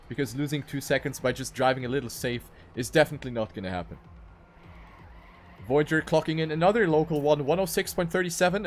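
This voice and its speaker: A man's voice: on the high side, a little hiss or hum. A man giving live commentary on a video game race.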